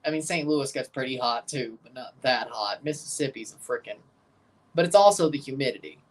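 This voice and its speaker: A woman's voice: extremely low pitch, expressive, a little fast, rough recording. A woman hosting a livestream watchalong, giving casual live football commentary and chatting with viewers, often repeating and correcting herself.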